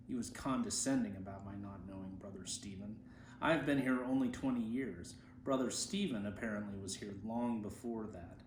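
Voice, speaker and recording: A man reading a literary story aloud as an amateur reader. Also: on the low side. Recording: roomy echo, faint background noise.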